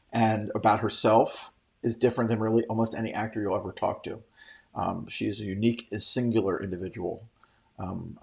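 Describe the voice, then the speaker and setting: A man's voice: lowish voice, creaky. A middle-aged man hosting a show, giving off-the-cuff solo commentary in a rambling, opinionated, casually profane way. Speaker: host of a daily show commenting on entertainment trade news.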